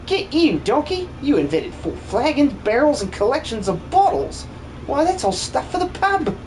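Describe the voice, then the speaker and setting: A man voicing a brash, bossy but warm-hearted young woman miner in a video game fan dub, blunt and slangy. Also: very high-pitched, big pitch swings, moderate pace, slight background noise. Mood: teasing.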